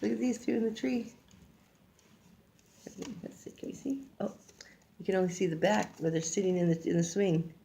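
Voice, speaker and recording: An older woman reads a picture book aloud like a grandmother, haltingly, stumbling over words and adding casual asides. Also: medium pitch, creaky, dark tone, slight background noise. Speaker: grandmother reading a storybook aloud.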